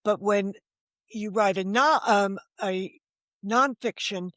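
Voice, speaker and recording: A woman's voice: mid-range voice, slow pace, a bit bright, no echo, clean studio sound. An older woman telling her own story candidly as an interview guest, frank, wry and self-deprecating, often quoting what people said. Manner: quotes dialogue while telling stories. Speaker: podcast guest, novelist.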